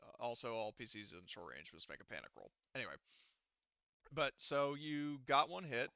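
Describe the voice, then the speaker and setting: Male game master, clear and bright, casual tabletop refereeing with loose swearing. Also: mid-range voice, very animated, normal speed, dry recording, dull audio.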